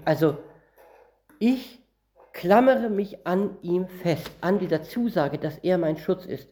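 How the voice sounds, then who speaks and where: A man's voice: high voice, slow pace, mellow. A man from northern Germany preaching a Bible-teaching sermon, reading verses aloud and explaining them word by word in a conversational way.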